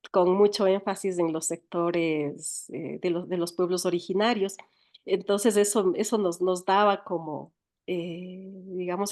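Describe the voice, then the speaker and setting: A woman with an Ecuadorian accent giving reflective interview answers, speaking thoughtfully and haltingly, often repeating words as she goes. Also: medium pitch, darkish tone. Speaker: interviewed writer, storyteller and educator.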